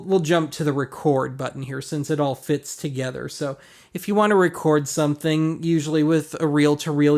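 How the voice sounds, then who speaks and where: A man with a somewhat higher-pitched voice walks through equipment in a casual, explanatory way. His delivery is colloquial and wry, with self-deprecating asides along the way. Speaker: host of a vintage audio gear review show. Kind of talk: casual product walkthrough.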